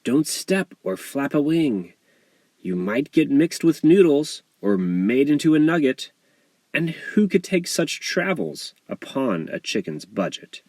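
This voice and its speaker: Storybook chicken pals, done by a male narrator: cautioning, sing-song rhyme, raised pitch, animated. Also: measured pace, no room sound. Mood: teasing warning.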